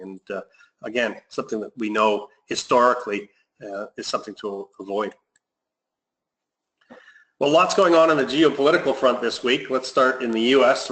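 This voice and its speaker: A man hosting a weekly investment conference call, reading market commentary in a measured way with frequent ums and restarts. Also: medium pitch, a bit bright, rough recording. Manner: measured, reads notes with frequent fillers and restarts. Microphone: phone or headset on a conference call.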